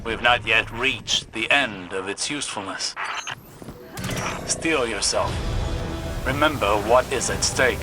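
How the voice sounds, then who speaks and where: An actor playing a grave, imperious scholar guiding a hero in a video game, pompous and formal. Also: male voice, lowish voice, a little slow, pauses often, close to an everyday voice. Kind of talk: video game mission narration. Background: music.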